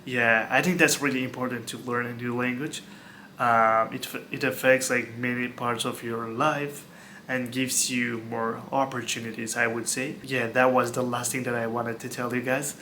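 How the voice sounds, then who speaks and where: Earnest young man, flat bright voice. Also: medium pitch, moderate pace, a little hiss or hum. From Istanbul, Turkey. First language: Turkish. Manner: earnest, repeats words, fills with like and um. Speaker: vlogger. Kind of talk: casual talk to camera.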